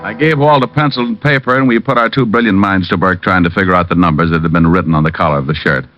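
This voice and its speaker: Actor as a low-voiced wisecracking radio private eye. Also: male voice, fast talker, natural voice. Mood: wry narration. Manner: wry, sardonic. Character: wisecracking, quick-witted male private detective. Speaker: narrator and lead actor. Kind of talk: old-time radio detective acting, hardboiled narration. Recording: dull vintage radio recording with music.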